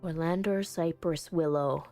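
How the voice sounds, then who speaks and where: A woman voicing an earnest, anguished visual novel protagonist in a halting, dramatic first-person reading. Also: sits low, warm tone, dry recording, faint music behind. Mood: halting, concentrating.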